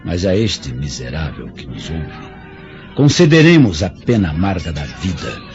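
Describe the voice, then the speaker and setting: A proud rebel chief of ancient Judea, acted by a man with a Brazilian accent, speaks in a low, widely swinging voice. He is vengeful and solemn, orating in grand radio drama style. The sound is dull, with faint music behind him. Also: very animated, a little slow, darkish tone. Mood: vengeful, triumphant. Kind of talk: religious radio drama acting.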